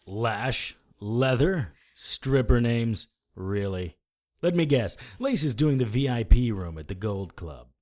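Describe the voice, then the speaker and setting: An actor playing a cocky, sarcastic hardboiled supernatural detective, narrating and trading defiant lines in a noir audio drama. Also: male voice, mid-range voice, very animated, slow, darkish tone. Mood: cocky taunting.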